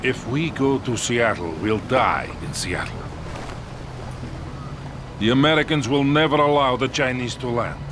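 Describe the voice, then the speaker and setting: Guy playing tired soviet commander in game cutscene, medium pitch, earnest, music under it. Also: unhurried, long pauses, lightly acted. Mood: grave. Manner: earnest, resolute, pleading. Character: war-weary Soviet commander, earnest and protective. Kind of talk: video game cutscene acting.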